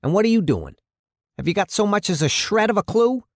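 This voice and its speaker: A man acting out a blustering, high-pitched angry mayor. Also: sing-song, brisk, no echo, clean studio sound. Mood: indignant. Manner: indignant, hectoring, emphatic.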